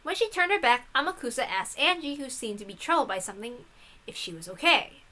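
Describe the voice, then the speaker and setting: A woman's voice: high-pitched, lively intonation, a little fast, sharp tone. A woman reading a visual novel aloud as dramatic story narration. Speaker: story reader.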